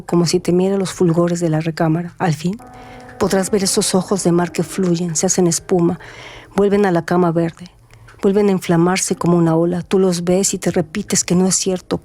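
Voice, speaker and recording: A woman narrating a literary radio drama in a measured, atmospheric storytelling manner. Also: fairly low pitch, mellow. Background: music.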